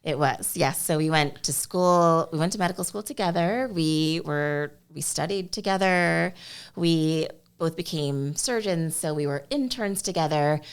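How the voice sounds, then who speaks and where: A woman from Connecticut, a surgeon and podcast guest, telling stories from her life in a relaxed, reflective way with light laughs. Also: low-pitched, a little slow, clear, resonant tone. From Connecticut, USA. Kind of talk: casual interview chat.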